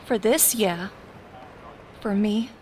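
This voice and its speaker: An actress playing a determined but wistful teenage superhero in an audio drama, speaking casually and plainly. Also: female voice, medium pitch, slow, darkish tone, natural voice. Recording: slightly distant, faint noise.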